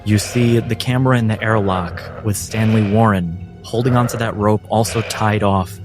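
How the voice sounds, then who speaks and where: A man working as game master, giving deliberate, dramatic, cinematic narration of a tabletop game. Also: on the low side, fairly even intonation. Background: loud film-style music.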